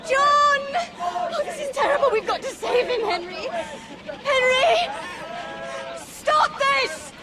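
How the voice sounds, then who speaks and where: A flighty young woman with a very high, breathy voice that drawls out its words. A faint crowd and soft music sit behind her in this radio play. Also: animated, slow pace, full character voice. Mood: frantic. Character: flighty young woman, pleasure-loving and frantic. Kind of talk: radio drama acting.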